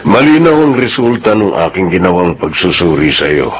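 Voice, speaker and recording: A self-assured doctor who gives news in a grave, measured way. His low voice moves a lot in pitch. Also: sing-song, slight background noise. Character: grave, sure-of-himself male doctor. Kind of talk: radio drama acting.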